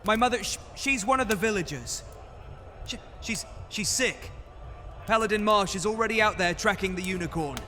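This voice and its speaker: A man performs a young, anxious pleader in a fantasy audio drama. The voice is very high and sharp, halting as it begs. Faint music plays behind. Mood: pleading, anxious. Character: anxious, pleading young villager with ailing mother. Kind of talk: immersive fantasy audio roleplay acting.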